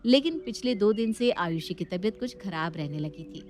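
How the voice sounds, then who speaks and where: A woman's voice: medium pitch, lively intonation, normal speed, darkish tone, slight background noise. A woman narrating a scripted family story as its storyteller.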